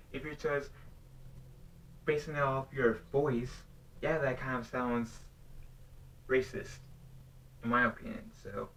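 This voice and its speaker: A man's voice: mid-range voice, bright, forceful tone, slight background noise. A young man vlogging off the cuff, rambling and restarting as he earnestly poses a question. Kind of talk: unscripted talk to camera. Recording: voice somewhat distant from the mic.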